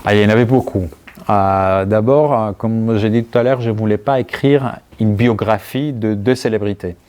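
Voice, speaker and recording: A man's voice: lowish voice, a little mellow, clean tone. A man from Greece with a Greek accent, a writer answering questions conversationally and searching for words as he goes. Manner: halting, searching for words, thoughtful. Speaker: writer being interviewed. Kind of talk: conversational answers at a book talk.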